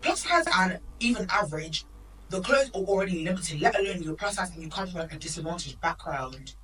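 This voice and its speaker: A woman's voice: fairly low pitch, lively intonation, a bit bright, far from the mic, rough recording. A woman of Nigerian heritage, co-hosting a podcast in emphatic, opinionated casual chat. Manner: opinionated, emphatic, quick asides. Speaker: podcast co-host.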